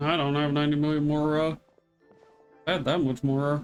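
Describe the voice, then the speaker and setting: Male game streamer, high voice, casual off-the-cuff reactions, music underneath. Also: flat delivery, brisk. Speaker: gameplay streamer.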